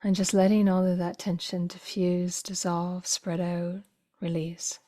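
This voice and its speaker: A woman guiding a meditation in a soft, breathy voice that often drops toward a whisper, with slow, gentle phrases and long pauses. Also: on the low side, flat delivery. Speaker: meditation teacher. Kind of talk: guided meditation.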